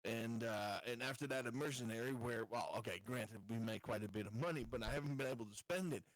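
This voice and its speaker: A man playing a tabletop game, improvising his character's thoughts in a halting, blunt, casual way. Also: medium pitch, no echo, poor audio.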